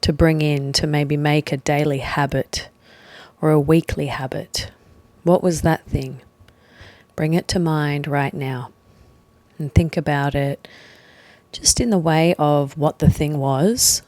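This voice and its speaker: A woman leading a guided meditation, speaking gently and leaving long pauses between short phrases. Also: sits low, fairly even intonation, dry recording. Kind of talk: guided meditation, slow soothing instruction.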